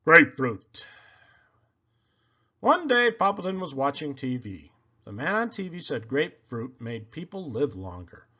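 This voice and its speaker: A man reading a picture book aloud to children, expressive and deliberate in his phrasing. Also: a little high, slightly rough, dull audio.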